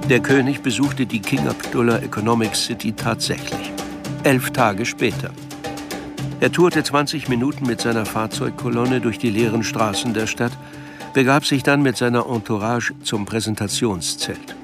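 A man's voice: medium pitch, normal speed. A man reading literary scripted narration for a radio drama. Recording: music behind the voice.

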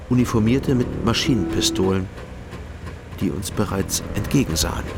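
An actor playing a male former detective who narrates his own story in a measured, dry way, in a radio drama. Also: on the low side, close to an everyday voice. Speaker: first-person narrator and detective. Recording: radio play over loud music score.

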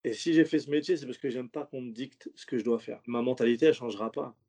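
A man's voice: mid-range voice, fast talker, dark tone, slightly rough. A man who is a stand-up comedian, talking loosely in a casual interview, slangy and rambling with many restarts. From French suburbs.

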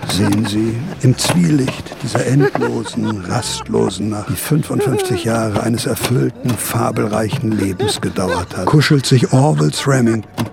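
An actor playing a smugly certain, sardonic narrator with dry, measured irony in a German radio drama. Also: male voice, mid-range voice, gravelly, over loud music. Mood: wry, brooding.

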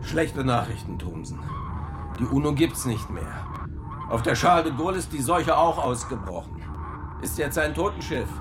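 Gruff middle aged sub commander, hot tempered, highish voice jumping around, music behind. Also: male voice, big pitch swings, moderate pace. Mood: grim. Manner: gruff, blunt, swearing. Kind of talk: radio drama acting.

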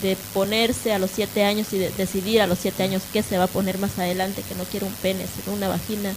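A woman's voice: medium pitch, a little mellow. Woman chatting on radio, noisy background. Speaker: radio show co-host. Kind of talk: informal radio discussion.

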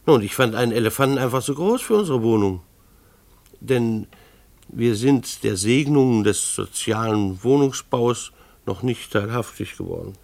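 A harried father telling of his household in a radio play. His voice is mid-pitched with a gravelly creak, recorded close and dry. He speaks with ironic formality and deliberate pauses. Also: expressive, darkish tone, no room sound. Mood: puzzled, formal. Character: harried, ironic husband and father narrating family chaos. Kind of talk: literary radio drama narration.

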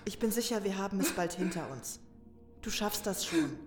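A woman's voice: mid-range voice, lively intonation, normal speed, natural voice. Woman acting resolute comforting female warrior, roomy echo. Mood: gently reassuring. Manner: earnest, reassuring, urging. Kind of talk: German fan audio drama acting. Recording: roomy echo, music underneath.

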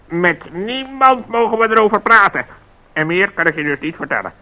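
A man's voice: high-pitched, expressive, normal speed, bright, forceful tone, exaggerated voice, poor audio. A man playing a fussy, flustered, secretive talking bird in a radio play, exasperated and correcting himself.

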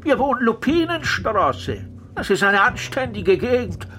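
High-voiced, flustered male caller. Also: big pitch swings, a little slow, sharp tone, soft background music, heavily acted. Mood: indignant. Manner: stammering, indignant. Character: flustered, indignant ordinary citizen phoning in a murder. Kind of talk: German radio crime play acting.

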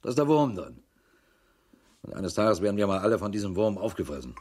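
Husky, grumbling old-school police chief. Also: male voice, fairly low pitch, sing-song, fairly quick, a little mellow. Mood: agitated, exasperated. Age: 50s. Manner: sardonic, grumbling. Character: grumbling world-weary police chief in his fifties. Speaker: actor in a radio drama. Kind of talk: German radio crime drama acting.

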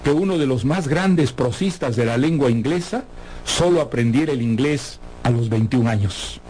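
A man presenting a scripted literary introduction in a measured, formal, erudite way. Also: medium pitch, expressive, a little mellow, slight background noise, poor audio.

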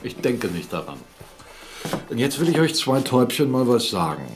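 A man playing a gruff, sardonic captain, swinging widely in pitch. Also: very animated, moderate pace, close to an everyday voice. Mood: jealous, threatening. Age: middle-aged. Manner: mocking, blustering, forceful. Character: gruff, commanding older captain, sardonic and jealous fiancé. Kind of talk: German radio drama acting. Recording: studio radio drama with music underneath.

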